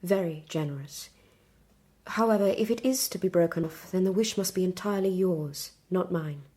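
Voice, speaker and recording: An actress playing a resolute, unhappy young Victorian bride-to-be with an English accent, speaking formally and gravely in a period audio drama. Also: female voice, on the low side, normal speed, mellow, close to an everyday voice. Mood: grave, measured. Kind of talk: period radio drama acting.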